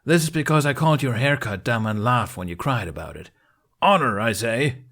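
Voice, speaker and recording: A man's voice: medium pitch, lively intonation, normal speed, fairly bright tone. A man voicing a mischievous young female troublemaker in a storybook, flippant and teasing. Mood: snarky, teasing.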